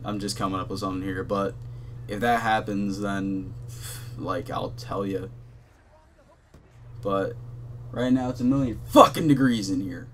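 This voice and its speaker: Low monotone young man rambling. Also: measured pace, pauses often, a little hiss or hum. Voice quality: monotone, heavy swearing, many fillers. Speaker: gaming channel host. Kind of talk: casual rambling commentary. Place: hot bedroom at his console.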